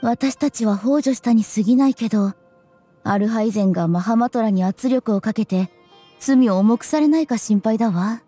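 A subdued young student in an anime game. Her voice is soft, mellow and breathy, slightly high, with a worried air. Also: moderate pace. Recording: soft music behind.

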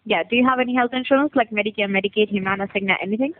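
A woman's voice: medium pitch, very fast. A woman cold-calling as a telemarketer, going through a sales script over the telephone. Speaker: telemarketing caller. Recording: tinny narrowband phone line.

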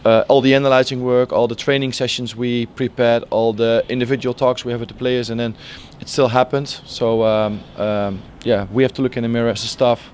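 A man in his forties, a football coach, answering post-match interview questions outdoors by the pitch, halting with many fillers and restarts. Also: level tone, darkish tone, slight background noise. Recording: faint outdoor stadium ambience.

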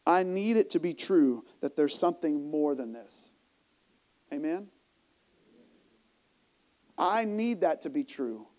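Male preacher, 40s, high voice, emphatic sermon delivery shifting between soft and forceful phrases, clip-on mic in a church. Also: expressive, slow pace, long pauses, poor audio. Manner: emphatic, rhetorical questions, swings between hushed and raised delivery. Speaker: pastor. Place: church sanctuary stage, indoors. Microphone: small mic clipped to the chest.

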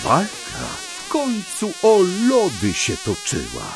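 A man narrating a scripted children's tale as a theatrical, expressive storyteller. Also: high-pitched, takes it slowly. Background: music.

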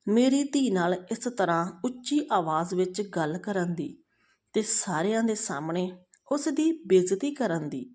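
A woman's voice: lowish voice, animated, moderate pace, a little mellow. A woman storyteller playing a stern older mother-in-law who scolds reproachfully in defence of her daughter. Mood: outraged.

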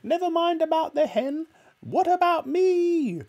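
A man reading a storybook aloud and voicing a boastful, cackling old grandmother in a shrieking falsetto. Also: animated, measured pace, darkish tone, highs cut off, exaggerated voice. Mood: demanding.

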